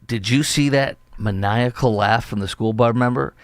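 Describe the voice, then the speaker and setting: A man's voice: medium pitch, normal speed, clean tone, no echo, studio quality. A man hosting a political talk show, giving emphatic, indignant, rambling commentary.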